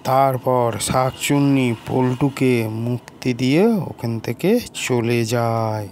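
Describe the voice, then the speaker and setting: A man narrating a simple scripted cartoon story in short, halting phrases. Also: medium pitch, a little mellow, slight background noise.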